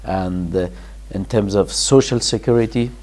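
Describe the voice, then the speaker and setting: Man from afghanistan, low-pitched, warm, halting interview answers. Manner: hesitant, many restarts and fillers, laughs in places. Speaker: guest, charity founder.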